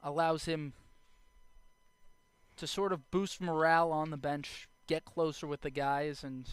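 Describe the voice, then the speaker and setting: A young adult man doing live baseball play-by-play as the announcer, speaking in short clipped phrases with measured pauses. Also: a little high, steady tone, clean tone, dry recording. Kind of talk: live sports play-by-play commentary.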